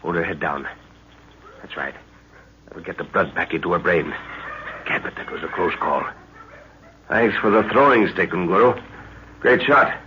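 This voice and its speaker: An actor playing a steady, authoritative professor and father on safari in old radio style, with brisk old-fashioned exclamations. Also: low-pitched. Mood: calm, commanding. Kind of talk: 1940s radio acting. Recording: muffled, noisy old radio recording.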